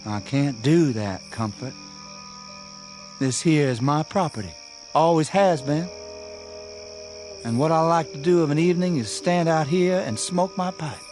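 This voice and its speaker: A man's voice: on the high side, unhurried, long pauses, a little mellow, soft background music, lightly acted. An actor playing a stubborn young country man in a radio drama, with a rural Southern US accent and a folksy, plain-spoken manner. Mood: stubborn, unbothered. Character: defiant young country man suspected of being undead.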